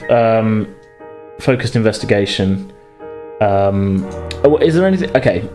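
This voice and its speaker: A man's voice: on the low side, animated, measured pace, a little mellow, soft background music. A man narrating a tabletop horror game as game master, phrasing things hesitantly as he improvises.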